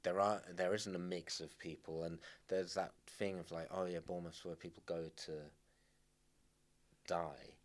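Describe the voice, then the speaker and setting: A middle-aged man with an English accent talks in a fairly low voice. He rambles and restarts his sentences often. Persian was his first language. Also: level tone. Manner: rambling, halting, many restarts. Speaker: podcast guest. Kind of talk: casual conversation.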